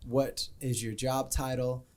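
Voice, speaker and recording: A man's voice: medium pitch, little pitch movement, takes it slowly. A man hosting a ministry show, chatting casually and informally with jokes as he interviews a guest. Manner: jokey, informal.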